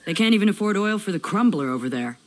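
Woman doing gruff working gal from a video game, blunt n folksy, out to get paid. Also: mid-range voice, lively intonation, fast talker, crowd noise, close to an everyday voice. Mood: brash. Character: gruff, plain-spoken adult tradeswoman, brash and money-minded. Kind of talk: video game character acting.

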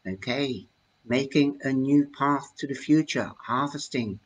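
An older woman with a Dutch accent, talking slowly into her webcam at home and explaining her fortune-telling cards in a chatty, hesitant way. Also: extremely low pitch, breathy edge, poor audio. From Netherlands. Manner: slow, chatty, with many hesitations. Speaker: tarot reader. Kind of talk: live-streamed card reading talk. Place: home room, indoors. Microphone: webcam at desk.

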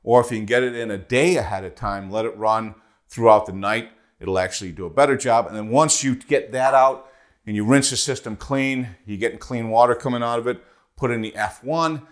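A man in his forties explaining boiler equipment in a practical, conversational way, recorded with a lapel mic in a bright studio. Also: medium pitch, brisk. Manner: practical, conversational, points and holds up parts. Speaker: HVAC product specialist. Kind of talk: product walkthrough video. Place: bright studio in front of a whiteboard.

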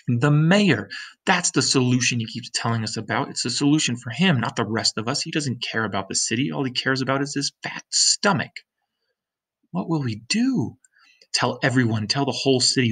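A man's voice: normal speed, breathy edge. A middle-aged man, a teacher, reading a graphic novel aloud to his students, doing the characters' voices and stopping to ask them questions. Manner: animated, shifts pitch for the characters' lines. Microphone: webcam on a computer screen recording.

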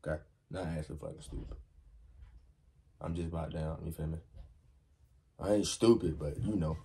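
Young man, reaction host, slangy hype talk, loud then mumbling, small bedroom, desk mic. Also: very deep voice, moderate pace, gravelly, a little hiss or hum. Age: young adult. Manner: hyped exclamations, slangy, swings from loud to mumbled. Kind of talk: excited reaction commentary.

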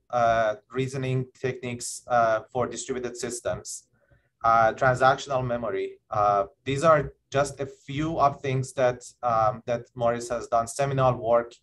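A man chairs an online conference session and introduces the keynote speaker. His voice sits in a middle register, with faint background noise. He speaks haltingly, stumbling over names and awards. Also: mid-range voice. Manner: halting, many fillers and restarts. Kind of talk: formal introduction.

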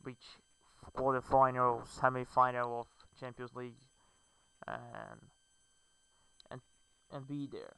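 Clear, bright-voiced Brazilian man. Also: medium pitch, flat delivery, slow pace, no echo, poor audio. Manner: hesitant, fillers, non-native phrasing. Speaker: gamer. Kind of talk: game commentary.

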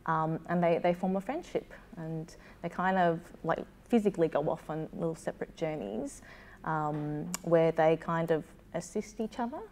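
A woman's voice: low voice, normal speed, darkish tone, a little hiss or hum. An Australian woman in her thirties speaking thoughtfully and hesitantly as a playwright in a casual interview. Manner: hesitant, thoughtful, self-deprecating. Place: small room, seated indoors.

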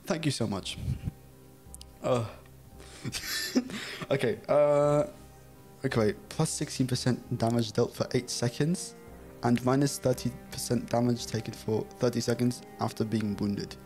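A young Dutch man who speaks English with a British-style accent, talking casually at a mid-range pitch into a close mic in a small room at home. He giggles often, gets flustered easily and reads chat and game text aloud. Faint music plays in the background. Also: measured pace, pauses often, darkish tone. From Netherlands. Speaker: streamer.

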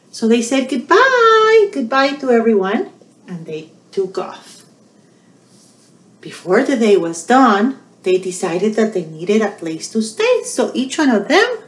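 A woman in her forties presenting storytime for young children, animated and full of questions to them. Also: on the high side. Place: small indoor room. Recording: roomy home recording.

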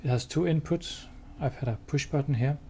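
A man giving a hands-on product demo indoors, explaining step by step in a halting, matter-of-fact way. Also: on the high side, darkish tone. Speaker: product demonstrator. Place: indoors, showroom with wall-mounted devices. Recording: clear background noise.

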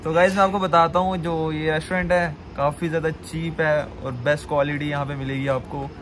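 A man's voice: on the high side, flat delivery, brisk, a bit bright. A man, vlogging casually to his phone in a playful, teasing way. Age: 20s. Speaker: vlog host. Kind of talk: casual vlog chat to camera. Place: restaurant room and outside it by a road. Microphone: phone at arm's length. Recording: noisy phone audio with background ambience.

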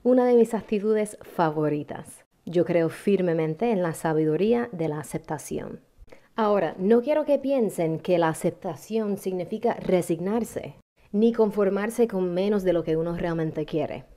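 A woman, calmly teaching a mindfulness lesson to camera, with deliberate pauses. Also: on the low side. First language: English. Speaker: yoga teacher. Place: indoor room at home. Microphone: small handheld lavalier mic held close.

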